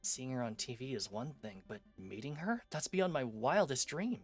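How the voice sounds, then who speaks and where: A man's voice: mid-range voice, lively intonation, moderate pace, slightly rough, soft background music. A man doing an eager young hero.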